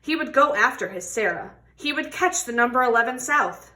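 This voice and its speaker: A woman's voice: fairly high pitch, animated, moderate pace, pushed and bright. A woman reading a children's picture book aloud expressively, stretching words for emphasis and putting questions to young listeners. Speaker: read-aloud storybook reader.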